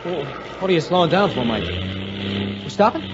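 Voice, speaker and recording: An actor playing an anxious, devoted young soldier husband, earnest and hesitant in 1940s radio style. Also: male voice, medium pitch, sing-song, unhurried. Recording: muffled, noisy 1940s radio recording.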